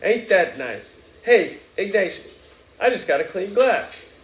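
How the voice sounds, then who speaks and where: A man's voice: high-pitched, sing-song, unhurried, reverberant, slight background noise, voice pushed up, low-quality recording. A man reading aloud and voicing a chatty, doting middle-aged Southern mother in a gushing, folksy way. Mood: fussy gossip.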